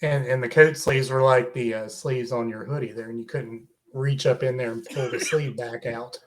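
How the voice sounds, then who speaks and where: A man's voice: medium pitch, fairly even intonation, moderate pace, low-quality recording. A man co-hosting a casual podcast, joking, often repeating words as he starts. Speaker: podcast co-host.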